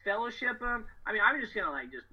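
Husky, higher-voiced American man, casual and emphatic. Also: big pitch swings, quick pace, dull audio. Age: middle-aged. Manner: slangy, emphatic, boastful. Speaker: podcast guest, door-to-door solar salesman. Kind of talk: casual, animated storytelling.

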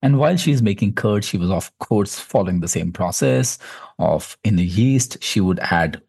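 A man with an Indian accent hosting a podcast, speaking reflectively with measured, deliberate phrasing. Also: fairly low pitch, expressive, vocal fry, a little mellow, slightly rough, no room sound, clean studio sound. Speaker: podcast host. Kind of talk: reflective conversation.

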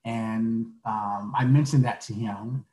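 A man in his forties, a podcast guest answering questions earnestly, halting with many fillers. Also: lowish voice, fairly even intonation, dark tone, echoey room, rough recording. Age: 40s. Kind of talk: casual interview answers.

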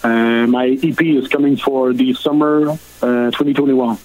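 Man, Quebec French accent, native French speaker, middle-aged, halting, lots of fillers, guest answering questions. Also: mid-range voice, fairly even intonation, a little mellow, poor audio. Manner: halting, many fillers and restarts. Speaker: country singer, guest. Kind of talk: interview answers.

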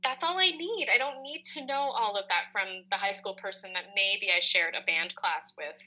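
A woman's voice: medium pitch, normal speed, sharp tone, rough recording. A woman co-hosting a reflective, conversational talk, speaking candidly and explaining things with plain, relatable examples. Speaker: co-host.

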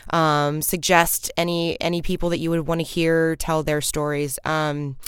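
A woman in her thirties hosting her own podcast, chatting casually and upbeat with frequent ums and casual swearing, her morning voice sitting low. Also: level tone, normal speed, clean tone, no room sound, studio quality. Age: 30s. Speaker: podcast host. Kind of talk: casual solo podcast update.

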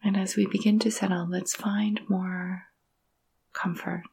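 A woman guides a meditation in a soft, breathy voice with a gravelly creak at its edges. Her intonation stays quite even and gentle. She speaks in hushed, soothing phrases separated by long pauses. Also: medium pitch. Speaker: yoga nidra meditation guide.

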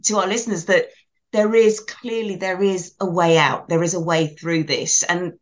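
A woman's voice: lowish voice, steady tone, moderate pace. A woman hosting a podcast interview, affirming and emphatic as she introduces and responds to her guest. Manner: warm-spoken, affirming, emphatic. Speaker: podcast host.

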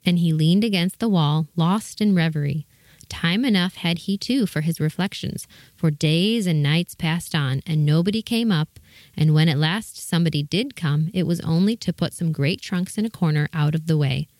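A woman's voice: low voice, normal speed, a little mellow, no room sound. A woman giving scripted narration of a children's storybook tale.

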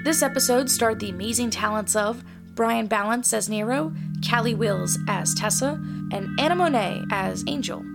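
A woman's voice: a little high, moderate pace, vocal fry. A woman reading scripted closing credits as the announcer of an audio drama. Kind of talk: scripted narration. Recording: loud music behind the voice.

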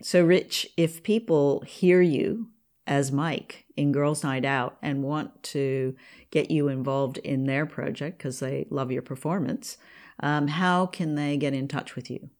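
A woman's voice: sits low, takes it slowly, darkish tone. A woman hosting a podcast, speaking in an interview conversation. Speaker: podcast host and producer.

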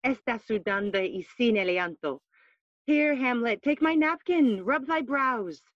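A woman's voice: fairly high pitch, expressive, measured pace, no echo, muffled recording. A woman playing an affectionate middle-aged queen and doting mother in a bilingual audio drama, tender and gracious. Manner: tender, gracious, switching between English and Spanish. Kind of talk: classical stage verse in audio drama.

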